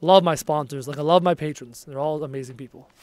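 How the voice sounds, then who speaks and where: High-voiced male streamer losing his voice. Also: fast, no echo. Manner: chatty, reads out viewer messages, easily excited. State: losing his voice, low on energy. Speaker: game streamer. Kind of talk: casual live-stream chat with viewers. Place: at his desk at home.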